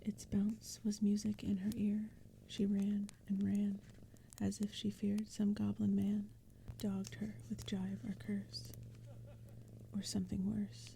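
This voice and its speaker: A woman reading poetry in a gentle, breathy ASMR whisper, unhurried and even, over crackling fire and forest sounds. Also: medium pitch. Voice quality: breathy whisper, mostly unvoiced. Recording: steady background ambience noise.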